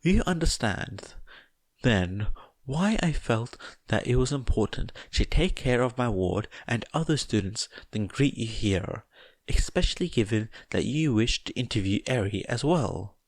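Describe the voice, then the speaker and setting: A man's voice: medium pitch, lively intonation, unhurried, no echo, voice pushed up. A man voicing a small, genteel animal school principal, cheerful, formal and politely cunning, in an audiobook. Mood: gently firm.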